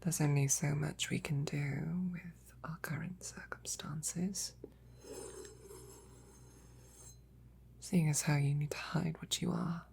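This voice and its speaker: A woman playing a devoted, tenderly teasing royal knight in an intimate roleplay audio, with breathy, often whispered, courtly speech. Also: sits low, little pitch movement, darkish tone. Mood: earnest, devoted. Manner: slow, tender, courtly phrasing.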